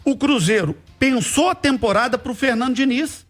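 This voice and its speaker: Emphatic high-voiced male sports commentator. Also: moderate pace, a bit bright, soft background music. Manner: emphatic, repeats points for weight.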